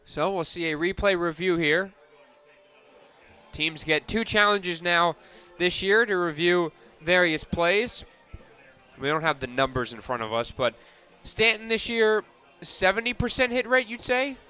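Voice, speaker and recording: A man calling live softball play-by-play on the radio as the announcer. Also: high-pitched, expressive, measured pace, smooth.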